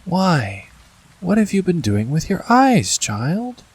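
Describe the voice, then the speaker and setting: A man voicing a kindly, very old lady in a storybook, with a sweet, rather shaky voice and a gentle, welcoming manner. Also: high voice, wildly swooping pitch, takes it slowly, breathy edge, voice pushed up, full character voice. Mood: tender concern. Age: older. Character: kindly very old lady at her spinning wheel. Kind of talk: storybook character reading.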